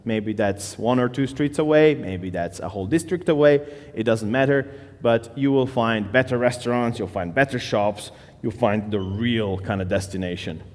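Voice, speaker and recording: A man's voice: mid-range voice, moderate pace, big-room sound. A man from Slovenia giving a casual conference talk on stage, with loose phrasing, fillers and restarts. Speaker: conference speaker.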